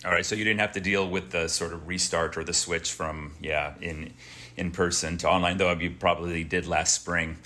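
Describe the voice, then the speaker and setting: A man from Oakland co-hosts a podcast interview, his voice low yet bright and forward. He asks questions in a friendly way, often restarting his sentences. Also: steady tone, normal speed, a little hiss or hum. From Oakland, California. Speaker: podcast co-host.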